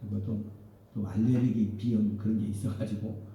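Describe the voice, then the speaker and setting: Dark-voiced male pastor preaching in a church. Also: lowish voice, level tone, moderate pace, slight background noise. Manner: emphatic preaching with repeated calls of hallelujah. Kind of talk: sermon and church announcements. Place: church sanctuary. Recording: roomy church sound from a distant mic.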